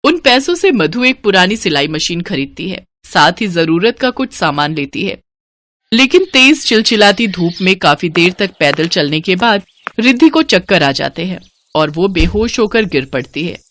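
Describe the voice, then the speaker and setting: A woman's voice: lowish voice, very animated, normal speed, fairly bright tone. A woman narrating a scripted moral story in a dramatic, sympathetic way.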